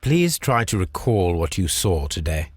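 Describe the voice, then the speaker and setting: Cool probing english detective for a video game, low husky voice, very formal and clipped. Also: male voice, sing-song, exaggerated voice. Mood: composed, probing. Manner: formal, measured, politely clipped. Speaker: detective questioning suspects. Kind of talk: scripted video game acting.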